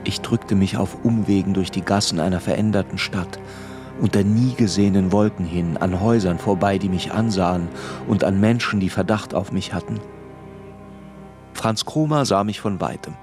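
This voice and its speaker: Grown man looking back on an anxious childhood, lowish voice, grave audiobook-like radio drama narration, music around. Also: normal speed. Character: grown man recalling his anxious, tormented boyhood. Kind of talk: literary first-person narration in a radio play.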